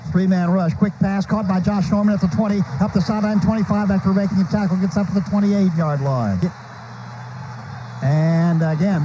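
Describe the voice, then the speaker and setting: An American man doing live radio play-by-play of a football game, speaking in clipped running phrases that swell on big plays. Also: high-pitched. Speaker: play-by-play announcer. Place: stadium broadcast booth. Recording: muffled noisy old radio broadcast.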